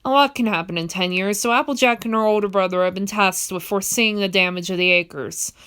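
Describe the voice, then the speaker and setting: A young woman reading a fan comic dub in a steady first-person storytelling narration. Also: medium pitch, a little fast, smooth.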